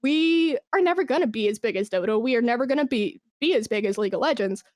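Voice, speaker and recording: A woman with a fairly high voice talks as a guest in a casual interview. She is enthusiastic and informal, swearing freely. The sound is very dry, with no room echo. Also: animated, fast, darkish tone. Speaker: podcast guest, esports brand manager.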